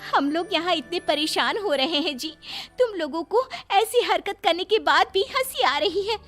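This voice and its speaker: A woman's voice: very high voice, expressive, normal speed, a bit bright. A woman voicing a spirited young daughter-in-law in a cartoon, complaining and indignant, over faint background music. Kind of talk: cartoon dubbing.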